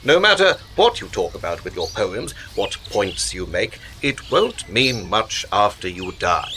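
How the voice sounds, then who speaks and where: An actor in a radio play, playing a smooth political patron who speaks in measured, coaxing rhetoric and turns grandly philosophical. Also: male voice, fairly low pitch, sing-song, bright, forceful tone, a little hiss or hum, full character voice. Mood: reflective, needling. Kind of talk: radio drama acting.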